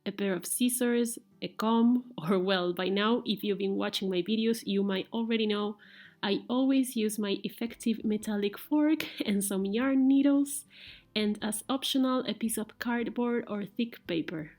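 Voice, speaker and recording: A woman whose first language is Spanish speaks English with a Spanish accent. She hosts a weaving tutorial in a gentle, halting way with many fillers. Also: medium pitch, no echo. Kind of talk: casual personal talk and craft tutorial.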